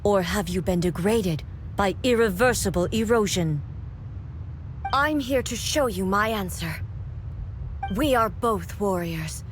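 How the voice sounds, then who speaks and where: A woman voicing a solemn, regal female warrior deity in a video game, speaking in a measured, grave and formal way. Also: medium pitch, lively intonation, a little hiss or hum, lightly acted. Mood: resolute.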